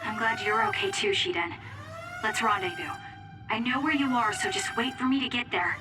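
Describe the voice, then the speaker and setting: A woman's voice: fairly high pitch, normal speed, far from the mic, lightly acted. Woman acting an earnest young soldier heroine over music. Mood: focused, relieved. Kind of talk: anime game English dub acting.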